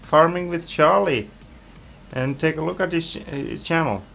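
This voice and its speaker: A man's voice: fairly high pitch, a little slow, gravelly, a bit bright, faint music behind. A man from Iceland, chatting casually as a game streamer and reading viewer comments aloud, with hesitant fillers. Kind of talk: casual live-stream chat.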